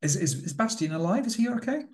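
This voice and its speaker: A man's voice: sits high, sing-song, fast, a little hiss or hum, voice pushed up. Man playing a prim, fussy, anxious doctor. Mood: anxious, earnest. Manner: prim, formal, exasperated politeness.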